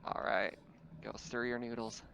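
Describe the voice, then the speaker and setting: A man talking casually as game master, explaining things in a halting way over dull, noisy voice-chat audio. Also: mid-range voice, flat delivery, gravelly, fairly bright tone, smooth. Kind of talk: casual tabletop game talk.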